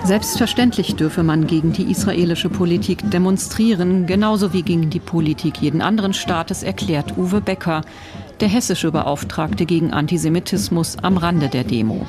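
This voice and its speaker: A woman narrating a news report in a measured, even reporting voice. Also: on the low side, music in the background. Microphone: studio voice-over mic.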